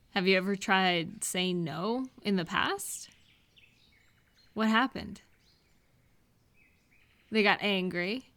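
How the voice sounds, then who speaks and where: A woman playing a kind, caring friend in a comfort roleplay audio, speaking gently and reassuringly with soft questions. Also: mid-range voice, close to an everyday voice. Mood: gently firm. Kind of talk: comfort audio roleplay, one-sided conversation.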